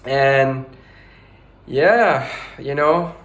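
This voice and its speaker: A man's voice: mid-range voice, very slow, fairly bright tone, roomy sound. A man in his twenties hosting a casual podcast chat, giggly and rambling, with lots of fillers. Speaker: podcast host.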